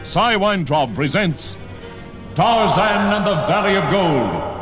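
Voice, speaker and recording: A punchy 1960s trailer announcer, pitched fairly high. He sells monster pictures with bombast and urgency over loud music. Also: expressive, slow pace, full character voice. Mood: bombastic. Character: booming, urgent male B-movie trailer announcer. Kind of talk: 1960s film advertisement announcing. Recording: vintage trailer, music behind.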